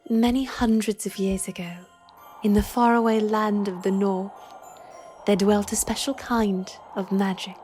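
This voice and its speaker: A woman's voice: medium pitch, fairly even intonation, unhurried, darkish tone, soft background music. A woman narrator telling a storybook tale in scripted audio drama style.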